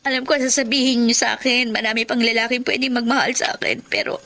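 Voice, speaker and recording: A woman's voice: a little high, level tone, quick pace, dry recording. A woman playing a plaintive, heartbroken young woman in a radio drama, with a Filipino accent. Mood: resigned. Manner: plaintive, sorrowful. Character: heartbroken young single woman, devoted and stubborn in love. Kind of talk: Filipino radio drama acting and first-person narration. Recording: loud music under the voice.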